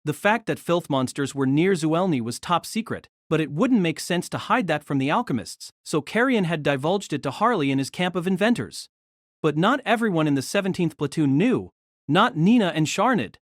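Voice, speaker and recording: A narrator reading a novel aloud as an audio drama with expressive storytelling. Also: mid-range voice, normal speed, dry recording, clean studio sound.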